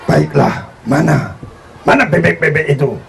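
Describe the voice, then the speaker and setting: A man playing a sly mustached tempter in a live children's story, with a gravelly, wheedling, theatrical delivery. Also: fairly high pitch, unhurried, a faint crowd. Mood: coaxing.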